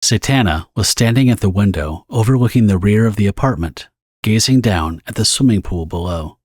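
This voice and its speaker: Husky, low-voiced male narrator. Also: expressive, moderate pace, a little mellow, dry recording, clean studio sound. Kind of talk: scripted dramatic story narration.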